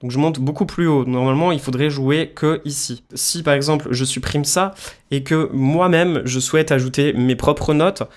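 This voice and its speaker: A man's voice: on the high side, normal speed. A man presenting a music production tutorial, explaining things informally, step by step. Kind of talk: casual screen-share software tutorial.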